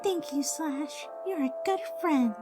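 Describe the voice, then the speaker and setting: A woman voicing a shy, soft-hearted teenage girl in a cartoon fan drama, timid and hesitant. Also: high voice, slow pace. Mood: grateful. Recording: music behind the voice.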